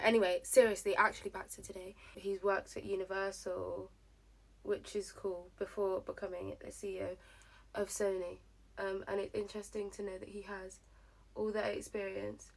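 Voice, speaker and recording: A young woman chatting casually through her get-ready-with-me routine, rambling with lots of fillers, her voice slightly hoarse. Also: medium pitch, low-quality recording. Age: young adult. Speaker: vlogger.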